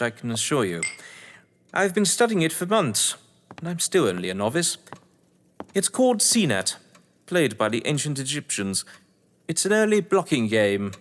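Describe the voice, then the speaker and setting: A man's voice: medium pitch, sing-song, normal speed, fairly bright tone. An actor playing a jovial, theatrical English crime novelist at his manor, genteel and effusive, in an audio drama. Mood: proud, fussy. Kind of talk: stage thriller audio drama acting. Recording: long reverberant hall echo.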